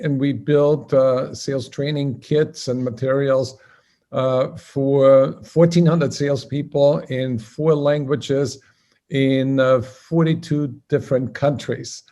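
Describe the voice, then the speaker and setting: An older man with an Austrian accent, a guest telling his story in an easygoing way, with frequent "uh" fillers. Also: mid-range voice. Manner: relaxed, conversational, frequent uh fillers. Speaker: guest, business founder. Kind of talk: storytelling interview answers.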